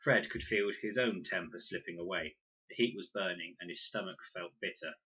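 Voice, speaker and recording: A man's voice: sits low, normal speed, pushed and bright, husky. A man narrating a children's adventure audiobook expressively, on a dull, lo-fi recording. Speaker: reader, narrator.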